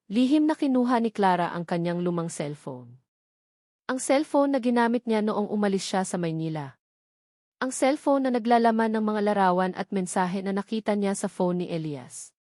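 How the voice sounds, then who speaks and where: A woman's voice: mid-range voice, darkish tone, dry recording, clean studio sound. A woman storyteller reading a scripted romantic drama in a dramatic narrating style.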